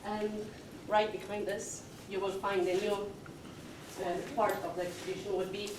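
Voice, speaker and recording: A woman's voice: medium pitch, level tone. A young woman from Argentina, speaking non-natively, introducing an art exhibition with hesitant, filler-heavy speech. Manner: hesitant, many fillers, slow. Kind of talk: casual talk at an exhibition. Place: exhibition hall with people around. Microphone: far, room mic. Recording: distant voice, noisy hall ambience.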